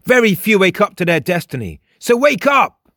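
A man's voice: high voice, sing-song, normal speed, a bit bright, dry recording, studio quality, pitched above the performer's own voice, heavily acted. A man voicing a smug, theatrical young male mystic in a storybook, declaiming with pompous emphasis. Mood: knowing, urgent. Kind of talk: audiobook character dialogue.

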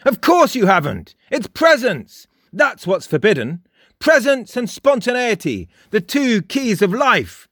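A man's voice: high-pitched, wildly swooping pitch, fairly bright tone, dry recording, studio quality, pitched above the performer's own voice, heavily acted. A male narrator voicing a mischievous, fox-like teenage boy who taunts and lectures in a lofty, mocking way. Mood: boastful. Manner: mocking, lofty, rapid-fire barrage. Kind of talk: audiobook character voice.

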